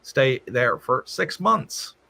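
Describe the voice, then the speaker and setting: A man's voice: mid-range voice, sing-song, slow pace, gravelly, pushed and bright. A man hosting a casual livestream from his home, reading out viewer comments and answering them in a chatty way. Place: home in Thailand.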